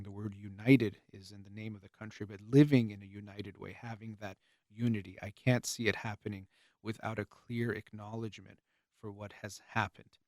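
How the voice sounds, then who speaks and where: A man from the United States giving an earnest, measured spoken monologue, often pausing and restarting his phrases, while losing his voice. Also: on the low side, a little mellow, no echo, studio quality.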